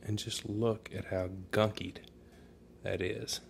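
A man's voice: on the low side, flat delivery, takes it slowly, gravelly, a little hiss or hum. A man giving a casual how-to narration in an informal, folksy way, thinking aloud as he goes, recorded in a room at home. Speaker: DIY demonstrator.

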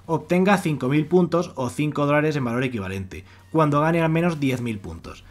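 A man with a Spain accent narrates a casual on-screen walkthrough. His voice sits at an average pitch for a man. He explains things in a wordy way, circling back and restating each point. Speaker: YouTube presenter of money-making websites. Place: voice-over on a screen recording.

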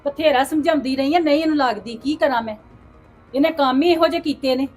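A woman's voice: high voice, fast talker, darkish tone, faint music behind, lightly acted. An actress playing a worried middle-aged mother who lectures her daughter in a family drama, speaking in a reproachful way.

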